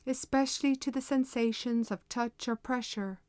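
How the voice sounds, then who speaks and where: High-voiced woman guiding a meditation. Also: no echo. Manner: measured, unhurried, gently instructing. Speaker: meditation guide. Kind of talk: guided meditation, slow instructional narration.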